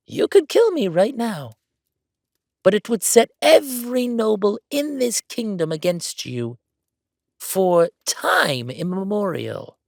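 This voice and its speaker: A man's voice: high voice, creaky, a little mellow, dry recording, clean studio sound, heavily acted. A man voicing a bold, calculating bookkeeper count in a villain audio drama, taunting and grandly self-assured.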